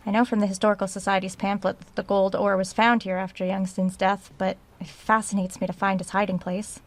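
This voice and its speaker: A woman playing a curious researcher in a horror audio drama, speaking at a medium pitch. She thinks aloud in an inquisitive way, as if logging a search. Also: level tone, a little fast, natural voice. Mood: absorbed, curious. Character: curious female researcher recording her search of a haunted house.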